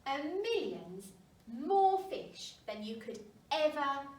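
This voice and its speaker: A woman's voice: high-pitched, very animated, slow, exaggerated voice. A woman voicing a patient, encouraging mother penguin in a children's storybook, with emphatic phrasing. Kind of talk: children's storybook read aloud. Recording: roomy, distant mic, faint noise.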